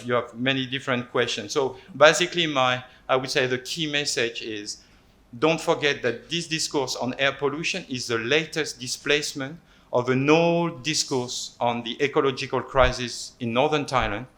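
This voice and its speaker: A man's voice: mid-range voice, pushed and bright. A man presenting at a panel discussion, asking rhetorical questions and restarting his sentences often. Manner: many restarts and repeated words. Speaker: panel speaker.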